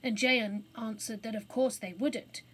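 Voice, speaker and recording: A woman reading a children's story aloud as an expressive storybook narrator. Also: fairly high pitch, measured pace, husky.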